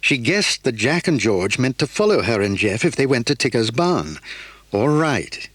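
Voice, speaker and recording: Narrator: man, husky tone, lively intonation, dry close recording. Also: medium pitch, moderate pace, no room sound. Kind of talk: scripted storybook narration.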